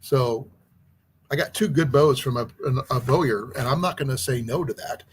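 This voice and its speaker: A man's voice: medium pitch, normal speed, a little hiss or hum. A man hosting his own outdoors channel, chatting casually and rambling with many restarts about gifts he got. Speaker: channel host. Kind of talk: casual chat.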